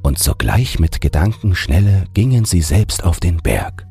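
A man's voice: sits low, very animated, unhurried, vocal fry, husky. A man narrating a scripted bedtime fairy tale as an audiobook reader. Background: soft music.

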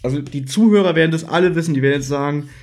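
A man's voice: on the high side, racing, darkish tone. A man chatting casually as a podcast host, playfully joking and acting out bits as he talks. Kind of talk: casual chat about an audio drama episode.

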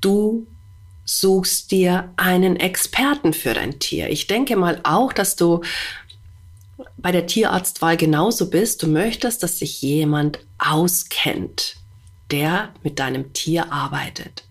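A woman's voice: lowish voice, takes it slowly. A woman hosting a podcast with a stuffy, slightly congested voice, talking earnestly and directly to the listener with rhetorical questions. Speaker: podcast host; animal communicator. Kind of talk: spontaneous monologue to listener.

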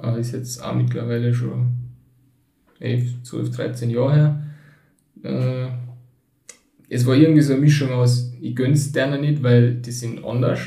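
A man's voice: medium pitch, little pitch movement, unhurried, gravelly, long pauses, dark tone. A man in his twenties, born in Turkey and raised in Tyrol, speaking with a Tyrolean accent as a podcast guest. He talks reflectively and hesitantly, with many fillers. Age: 20s. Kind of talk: casual conversation. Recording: roomy echo, somewhat distant from the mic.

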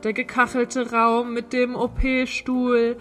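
A woman's voice: fairly high pitch, completely flat delivery, takes it slowly, faint music behind. A woman co-hosting a podcast in casual chat, telling anecdotes in a chatty way. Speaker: podcast co-host.

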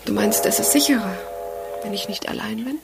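An actress playing an anxious young fiancée in a 1980s horror audio drama. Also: female voice, mid-range voice, a little fast, vocal fry. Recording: radio play clip, loud music behind.

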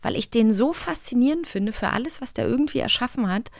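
A woman co-hosting a podcast who tells anecdotes in an animated way, laughing and acting out what people said. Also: fairly high pitch, quick pace, no echo, clean studio sound. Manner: animated, laughing storyteller who acts out quotes. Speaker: podcast co-host. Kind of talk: casual conversation.

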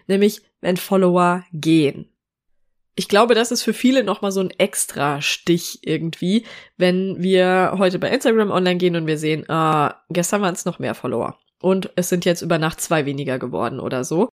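A woman hosting her own podcast, talking to the listener in a relaxed, reassuring way while she has a cold and speaks a little through her nose. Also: lowish voice. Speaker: podcast host. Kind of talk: solo podcast talk on social media marketing.

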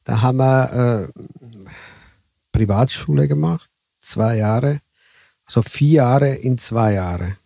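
A man from southern Italy speaking German with an Italian accent, telling his story haltingly as an interview guest. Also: medium pitch, vocal fry, warm tone, dry recording. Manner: halting, broken German with many fillers. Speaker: guest, bus driver. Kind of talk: casual interview chat.

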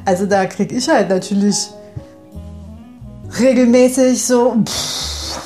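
A woman's voice: fairly high pitch, slow, dark tone, faint music behind. A woman in a casual, reflective chat with a friend. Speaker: conversation partner in a personal audio piece.

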